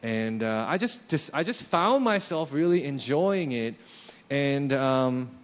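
Animated man in his late thirties preaching. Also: mid-range voice, takes it slowly. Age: 30s. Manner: conversational, self-deprecating, animated. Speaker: pastor. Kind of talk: sermon.

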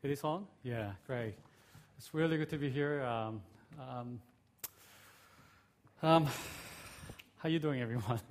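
A middle-aged man with a mix of Korean, Australian and American accents, a Korean native speaker, preaching as a guest pastor in a friendly, halting way with many fillers. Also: medium pitch, slight background noise. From South Korea. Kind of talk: sermon.

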